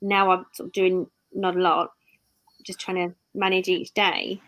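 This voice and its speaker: A woman's voice: on the low side, little pitch movement, measured pace, a bit bright, dull audio. A British woman in her thirties hosting a live stream, talking in a rambling way and often correcting herself. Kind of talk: casual live-stream chat.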